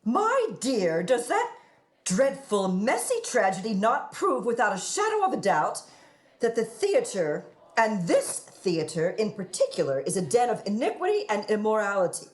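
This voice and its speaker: A woman's voice: mid-range voice, wildly swooping pitch, moderate pace. A woman playing a scheming, prim widow in a radio play, with a florid, sanctimonious and affected delivery. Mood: righteous, overwrought. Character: scheming widow posing as prim, melodramatic moral crusader. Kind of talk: theatrical radio play acting.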